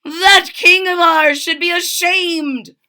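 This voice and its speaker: Storybook trumpeter, male, played by a woman, very high and bright, excitable, determined, given to wailing outbursts. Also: slow, heavily acted. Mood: indignant, bold. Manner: shouting, wailing exclamations. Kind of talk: children's storybook read-aloud.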